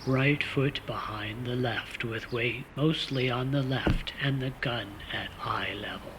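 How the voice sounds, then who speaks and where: Man as a slow-talking, exasperated spymaster, noisy recording. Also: mid-range voice, lightly acted. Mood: stern, exasperated. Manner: slow, deliberate, exasperated, sardonic. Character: stern, exasperated male spymaster, dry and commanding. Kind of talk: comic radio theater acting.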